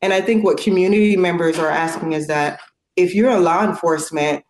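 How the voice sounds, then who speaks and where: A woman's voice: lowish voice, a little fast, darkish tone, echoey room, slight background noise. A woman speaking in casual conversation, earnest and outspoken, with lots of fillers. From United States.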